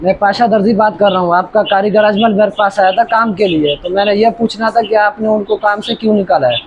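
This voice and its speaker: An actor playing a wary, blunt master tailor who questions people, in a moral drama. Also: male voice, high-pitched, a little fast, lo-fi sound, close to an everyday voice. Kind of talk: moral story drama acting. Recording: faint background ambient noise.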